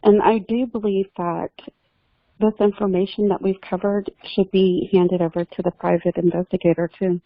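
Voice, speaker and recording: A woman's voice: mid-range voice, flat delivery, normal speed, dry recording. A woman joining a casual discussion of a case over a narrow phone connection. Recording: narrow, tinny phone-line sound.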